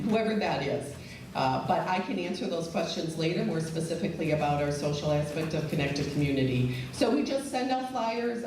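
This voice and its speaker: Low-voiced woman presenting in an echoey hall. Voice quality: loud voice. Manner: chatty, many fillers and restarts. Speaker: director and presenter. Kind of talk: conference presentation. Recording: echoey hall, far from the mic, faint noise.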